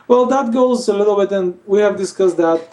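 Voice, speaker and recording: A man's voice: high voice, fairly quick, mellow, far from the mic. A male surgeon from Bulgaria, answering interview questions hesitantly with many fillers. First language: Armenian. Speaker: facial plastic surgeon.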